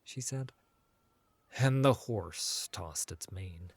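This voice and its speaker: A man narrating a scripted fantasy audiobook in a measured, dramatic storytelling manner. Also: sits low, expressive, creaky, breathy edge, no echo, clean studio sound.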